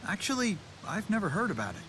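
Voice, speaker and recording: A man's voice: sits high, huge pitch swings, fast, gravelly, fairly bright tone, a little hiss or hum, heavily acted. An actor playing a friendly, polite, earnest young man in a video game cutscene, casual and eager to please. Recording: music under the voice.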